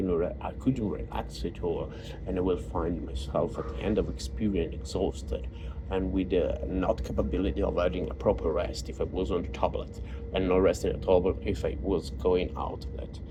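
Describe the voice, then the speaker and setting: A man with an Italian accent, a native Italian speaker, talking in a halting personal monologue full of fillers and restarts. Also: low voice, fairly even intonation. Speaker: vlogger. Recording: noisy background.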